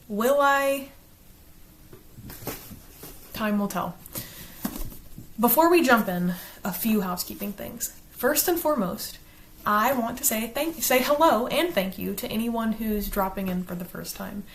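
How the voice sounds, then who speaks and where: A woman from South Carolina with a Southern accent, hosting her own channel and talking casually to camera about a book she read, partly reading from a script. She is chatty and earnest, and slows down to enunciate. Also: a little high, a little hiss or hum. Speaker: channel host, book reviewer.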